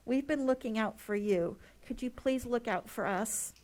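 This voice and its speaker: A woman's voice: a little high, moderate pace, heavy background noise. A middle-aged woman, a school nurse, giving an earnest, emotional public comment at a podium microphone.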